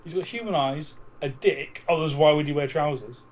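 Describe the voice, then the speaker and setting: A man's voice: on the high side, brisk, poor audio. A man co-hosting a podcast with casual, joking, argumentative banter about films and plenty of swearing. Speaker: podcast co-host.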